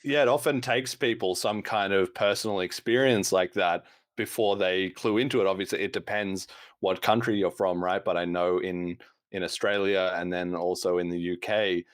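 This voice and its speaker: A man's voice: sits low, steady tone, moderate pace, no room sound, studio quality. A man hosting a podcast, talking at length in a casual, explanatory way. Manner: talkative, explains at length, laughs now and then. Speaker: podcast host.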